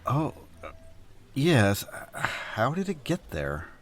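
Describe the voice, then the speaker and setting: An actor playing an earnest, principled young scientist hero with melodramatic delivery in an audio drama. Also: male voice, medium pitch, wildly swooping pitch, slow pace, heavily acted. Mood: mild, distracted.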